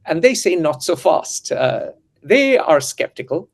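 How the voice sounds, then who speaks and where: Higher-voiced man explaining history. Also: very animated, unhurried, vocal fry. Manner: lecturing, fond of historical asides. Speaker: host and editor. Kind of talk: explanatory geopolitical discussion.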